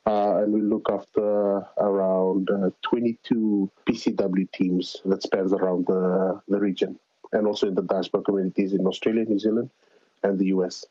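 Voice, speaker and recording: A man's voice: deep voice, little pitch movement, normal speed, mellow. A man with a Fijian accent answering casually as an interview guest, speaking as a climate organizer over a remote call. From Fiji. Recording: dull, roomy call audio.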